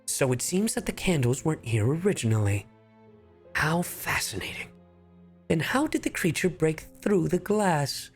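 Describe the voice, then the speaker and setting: A man's voice: on the high side, big pitch swings, normal speed, husky, faint music behind, heavily acted. Man putting on a musing, theatrical priest detective. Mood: pondering. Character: calm, fascinated male priest detective, musing over clues. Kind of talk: acted-out game character impression.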